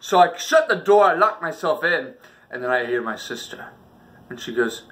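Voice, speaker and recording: Animated, high-pitched young-sounding man telling stories. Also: normal speed. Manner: theatrical, exaggerated delivery with acted-out reactions and sound effects. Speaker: storyteller and video host. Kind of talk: animated comedic personal storytelling.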